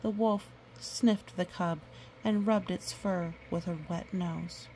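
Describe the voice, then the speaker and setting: A woman reading a picture book aloud to children, gentle and nurturing, with chatty asides. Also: on the low side, steady tone, takes it slowly, a little hiss or hum, low-quality recording.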